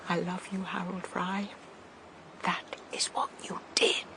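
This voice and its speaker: An actress playing a frail, loving elderly woman near death in a radio drama, speaking in soft, halting, tender phrases. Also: lowish voice, level tone, vocal fry, in a whisper. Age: older. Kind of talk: BBC radio drama acting.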